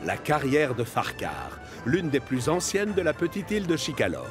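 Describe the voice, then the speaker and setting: A man narrating a children's cartoon in a measured, clearly enunciated storytelling style. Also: on the high side, huge pitch swings. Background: music and train sounds.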